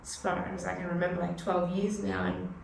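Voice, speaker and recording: A woman's voice: fairly low pitch, little pitch movement, brisk, creaky, a little mellow. An Australian woman in her thirties, a finance broker chatting reflectively as a podcast guest, with lots of "you know" fillers. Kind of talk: casual conversational interview. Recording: echoey room, mic at a distance, faint noise.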